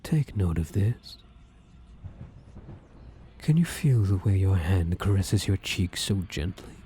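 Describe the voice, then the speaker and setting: A possessive shadow creature in male form, acted by a man, speaks in a low, dark murmur that rises and dips freely. He is domineering and obsessively devoted, coaxing and commanding up close in an intimate ASMR roleplay. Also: animated, slow pace, slight background noise. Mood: possessive, adoring. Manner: intimate, coaxing, commanding, slipping into breathy whispers.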